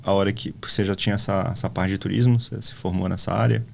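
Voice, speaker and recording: Low-voiced interviewer, rambling questions. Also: male voice, quick pace, vocal fry. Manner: long rambling questions, relaxed. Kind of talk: casual conversational interview.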